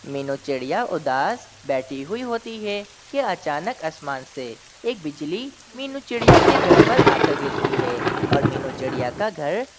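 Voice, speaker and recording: A woman's voice: sits low, very animated, measured pace, few pauses, very noisy. A woman narrating a scripted children's story as its storyteller.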